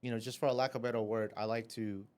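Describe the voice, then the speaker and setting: A man's voice: mid-range voice, little pitch movement, racing, darkish tone, smooth. A man from Vietnam, a café owner, telling his life story as a podcast guest in a casual, filler-heavy way, often ending with "right?". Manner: chatty, many fillers and "right?".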